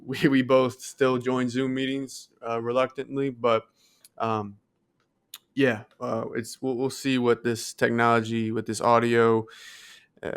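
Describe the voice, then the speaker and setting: A man's voice: mid-range voice, smooth. A man co-hosting a podcast in casual, reflective conversation. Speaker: podcast co-host. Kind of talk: casual chat.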